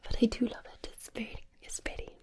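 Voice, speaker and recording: A woman voicing a gentle older woman from the Scottish Highlands and Islands in a sing-song ASMR whisper. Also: quick pace, close to an everyday voice. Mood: soothing, gentle.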